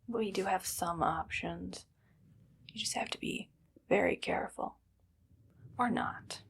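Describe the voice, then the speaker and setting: A woman playing a menacing, condescending evil doctor in a soft, whispered ASMR roleplay, speaking close to the mic with deliberate phrasing. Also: on the low side, creaky, exaggerated voice. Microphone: close ASMR microphone.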